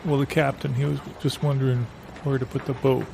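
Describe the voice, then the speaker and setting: A man's voice: mid-range voice, little pitch movement, fairly quick, creaky, noisy background, lightly acted. A man playing a timid, apologetic deckhand in improvised comedy, stammering and diffident as he speaks. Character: timid, apologetic deckhand relaying the captain's message.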